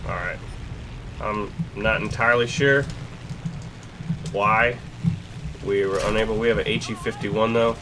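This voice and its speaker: Stuffed-up young guy narrating his game, lowish voice, clears throat a lot, faint engine drone behind. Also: fairly even intonation, unhurried, long pauses, background noise. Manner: chatty, self-deprecating, clears throat often. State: stuffed up with a cold. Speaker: gaming channel host. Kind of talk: casual gameplay commentary.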